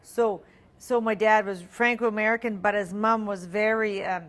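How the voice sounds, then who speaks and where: A middle-aged woman, French her first language, talks as a guest in a casual interview. Her speech wanders and restarts, full of ums and easy laughter, at an ordinary middle pitch. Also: fairly even intonation, normal speed, fairly bright tone. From Alberta, Canada. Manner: chatty, many ums and restarts, laughs easily. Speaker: singer-songwriter guest.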